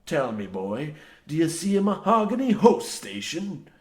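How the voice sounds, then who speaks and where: A man playing a gruff old coal mine foreman in an old-time radio comedy, blasé and folksy in archaic dialect. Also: fairly high pitch, big pitch swings, unhurried. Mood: blustering, indignant. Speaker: radio play actor.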